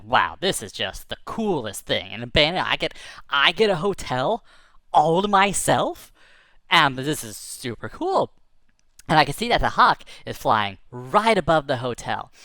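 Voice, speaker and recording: A man's voice: medium pitch, bright, forceful tone, no room sound. A man casually telling a tall tale in the first person, rambling with many fillers. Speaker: storyteller.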